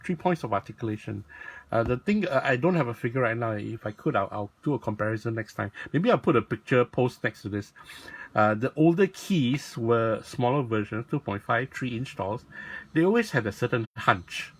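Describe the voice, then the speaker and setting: A man with a raspy voice, talking casually and rambling as he shows off a toy in a video. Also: medium pitch, animated, normal speed, dry recording. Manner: rambling, self-correcting, lots of fillers. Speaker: toy blogger and vlog host.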